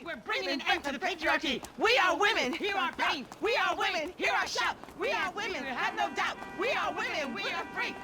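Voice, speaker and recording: A fervent activist in a game cutscene, chanting earnestly and militantly. The voice is very high, with loud music underneath. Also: female voice, animated, a little fast, few pauses.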